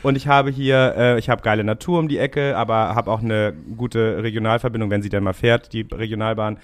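A man's voice: on the low side, fairly even intonation, a little fast. Joking man chatting. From Hamburg, Germany. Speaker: podcast co-host, voice actor. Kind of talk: casual chat.

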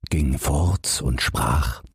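A man reads a fairy tale in a low, very husky voice. His narration is expressive and unhurried, recorded close and dry. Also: dry recording. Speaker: audiobook narrator.

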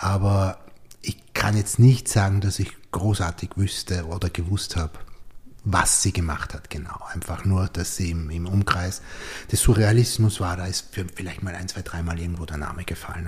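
A man's voice: deep voice, darkish tone. A man with an Austrian German accent hosting a podcast interview, asking questions hesitantly and often murmuring agreement. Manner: frequent backchannel, hesitant questions. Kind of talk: conversational interview.

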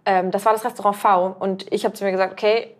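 A woman's voice: mid-range voice, level tone, quick pace. Chatty woman around thirty. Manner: chatty, rambling with fillers and restarts. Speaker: podcast guest, cook. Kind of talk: casual conversational storytelling.

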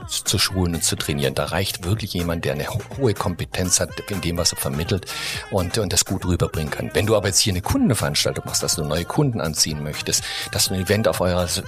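Ein Mann, der als Gast in einem Interview sicher und erklärend über die Buchung von Rednern spricht. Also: male voice, sits low. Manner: confident, explanatory, with hesitations. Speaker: speaker agency guest. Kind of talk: explaining in an interview.

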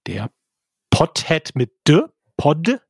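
Husky-voiced man chatting on a podcast. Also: fairly high pitch, very animated, slow pace, no echo, clean studio sound. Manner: chatty, laughs often, hesitant fillers. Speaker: podcast co-host. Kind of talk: casual chat. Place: hotel room. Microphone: headphones and desk mic.

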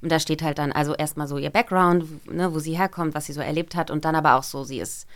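A woman's voice: low-pitched, steady tone, quick pace. A woman voice actress talking casually as a podcast guest, chatty and full of small fillers. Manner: chatty, many fillers and restarts. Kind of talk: casual interview chat.

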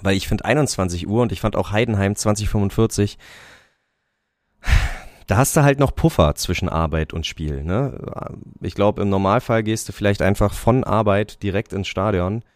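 A man's voice: on the low side, normal speed, dry recording, clean studio sound. A man co-hosting a casual football podcast, rambling with lots of fillers and restarts and laughing often. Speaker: podcast co-host. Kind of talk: casual chat about football.

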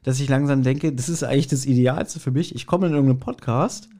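Ein Mann, der als Podcast-Host locker und gesprächig plaudert, scherzhaft und selbstironisch. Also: male voice, medium pitch, fairly even intonation, fast, warm tone. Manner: chatty, self-mocking, jokey. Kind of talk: casual, joking podcast chat.

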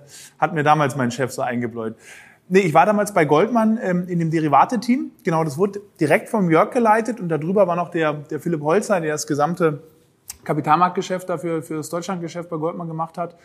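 A man from northern Germany, a podcast guest and founder, answering in a relaxed, rambling way with many fillers. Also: on the high side, husky. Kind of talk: conversational interview answers.

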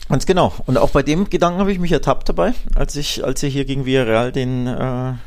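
A man with a medium-pitched voice. He co-hosts a football podcast in relaxed everyday language, putting emphatic weight on his arguments. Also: fairly quick. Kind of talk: casual football discussion.

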